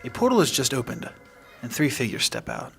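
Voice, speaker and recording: A man's voice: medium pitch, wildly swooping pitch, a little fast, vocal fry, a bit bright, faint music behind. A man narrating a tabletop game scene in a casual, hesitant and understated way.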